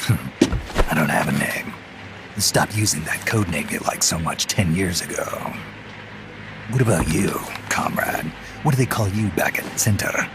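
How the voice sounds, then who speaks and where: An American man playing a weary, stubborn veteran mercenary commander in a game cutscene, gruff, terse and cynical. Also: sits low, a little slow, very noisy. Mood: dry, defiant. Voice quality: gravelly, gruff. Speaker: video game voice actor. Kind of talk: dramatic game cutscene dialogue.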